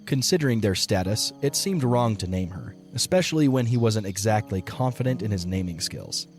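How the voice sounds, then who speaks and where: Lowish-pitched male story narrator. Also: expressive, brisk, faint music behind.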